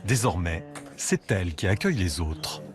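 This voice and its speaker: A man reading scripted documentary narration in a measured voice. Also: sits low, sing-song, a crowd in the background. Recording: voice-over with loud music behind.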